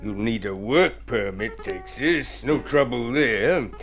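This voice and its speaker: Over faint music in an old radio play, a wily fixer. He takes his time, smug and insinuating, with a hard-boiled edge and a middling male pitch. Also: expressive, takes it slowly, a bit bright. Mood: smooth probing. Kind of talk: radio drama acting.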